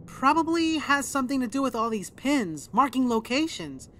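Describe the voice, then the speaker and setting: A thoughtful, curious young girl in an audio drama. Her voice is high and moves around with lively intonation. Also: moderate pace, slight background noise.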